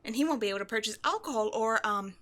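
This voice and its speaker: A woman's voice: on the high side, expressive, quick pace, fairly bright tone. A woman playing a petty, theatrical ghost from an old horror film in a scripted comedic audio roleplay, mock-sinister and easily exasperated. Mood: flustered, exasperated.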